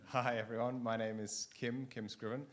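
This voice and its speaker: A man introducing himself as a network director at a conference, in a measured, explanatory way. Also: mid-range voice, little pitch movement, fairly bright tone, slight background noise. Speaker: network director, audience participant. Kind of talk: self-introduction. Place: large conference hall.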